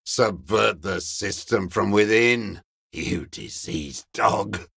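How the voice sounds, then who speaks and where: An actor playing a bitter, dying old communist deserter in a video game, raspy and contemptuous, given to cursing and ideological scorn. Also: male voice, lowish voice, slow pace, a bit bright, dry recording, studio quality, heavily acted. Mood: furious. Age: older. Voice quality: gravelly, raspy. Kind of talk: dramatic video game voice acting.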